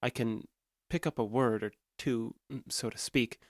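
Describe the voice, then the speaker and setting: An actor plays a calm, detached man in a naturalistic audio drama, earnest but socially awkward. His mid-pitched voice stays flat and restrained, with hesitant, literal phrasing. The sound is dry, with no room around it. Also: normal speed, no room sound, close to an everyday voice. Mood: awkward, confessing.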